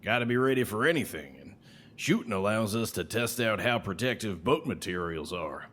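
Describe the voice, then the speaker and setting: A man's voice: lowish voice, animated, normal speed, fairly bright tone, slight background noise. An actor playing a cocky, menacing salesman and trained killer in an audio drama. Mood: cocky, relishing. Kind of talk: podcast audio drama acting.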